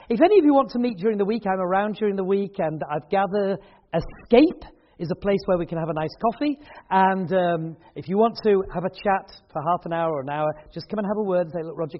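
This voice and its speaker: A man with a notably high voice hosts an evening event in a hall, chatting and joking with his listeners. The recording has a dull, lo-fi quality. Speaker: event host and speaker. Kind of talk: casual chat at a church event. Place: hall with an audience.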